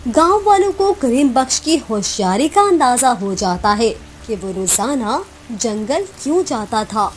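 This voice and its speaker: A woman's voice: fairly high pitch, very animated, a little slow, a little hiss or hum. A woman narrator reading a scripted cartoon story in storybook style.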